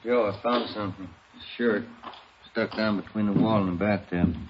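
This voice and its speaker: A man's voice: fairly low pitch, fairly even intonation, normal speed, natural voice. A man playing a plainspoken, polite police officer questioning people in an old radio crime drama. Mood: inquiring. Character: polite, probing male police officer, the detective's partner. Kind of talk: 1950s radio drama acting. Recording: dull, slightly noisy old radio recording.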